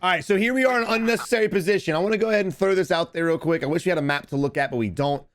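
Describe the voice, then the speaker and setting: A man in his thirties giving casual coaching commentary over gameplay from a home streaming setup, his voice a little hoarse from streaming. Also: high voice. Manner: rapid, repetitive, advice-giving. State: slightly hoarse from screaming on stream.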